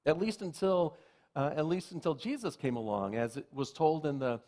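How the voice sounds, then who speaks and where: A male minister preaching a sermon to his congregation in a conversational way, with self-deprecating jokes, slowing down whenever he reads scripture. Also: on the high side, warm tone. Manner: slows down to read scripture. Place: church sanctuary.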